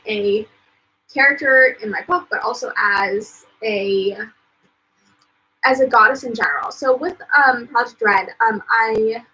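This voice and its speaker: A young woman from the United States chats to viewers on a live stream from home. Her voice sits a little high, bright and a touch husky. She rambles warmly through filler words and laughs between thoughts. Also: poor audio. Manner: chatty, lots of "um" and "like", laughs often. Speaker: author and vlogger. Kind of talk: casual live-stream chat.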